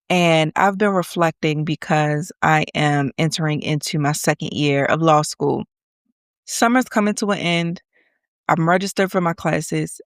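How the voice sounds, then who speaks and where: A woman's voice: lowish voice, dry recording. Congested woman podcasting, dry and earnest. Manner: earnest and encouraging, sometimes slow and emphatic. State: congested from allergies. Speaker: podcast host. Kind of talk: faith-based encouragement monologue.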